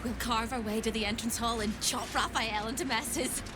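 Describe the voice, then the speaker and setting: A woman's voice: fairly high pitch, fairly even intonation, normal speed, music in the background, natural voice. An actress playing a frightened, unhinged captive woman in a video game, chirpy and theatrical, with erratic delivery. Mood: wounded, fragile. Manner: theatrical, erratic, swinging from singsong to hushed. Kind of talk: video game character acting.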